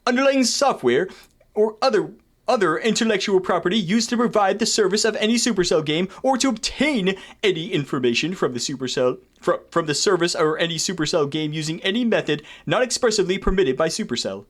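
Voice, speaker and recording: Male content creator, high voice with big swings, reading formal text aloud with irreverent, sweary commentary. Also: expressive, a little fast. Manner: irreverent, sweary, theatrically indignant asides. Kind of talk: reading a document aloud with joking asides.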